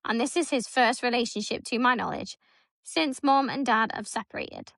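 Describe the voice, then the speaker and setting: Bright, blunt, foul-mouthed woman in her thirties. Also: on the high side, normal speed, vocal fry, no room sound. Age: 30s. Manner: blunt, swears freely, animated storytelling. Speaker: podcast co-host. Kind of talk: casual chat reading a story aloud.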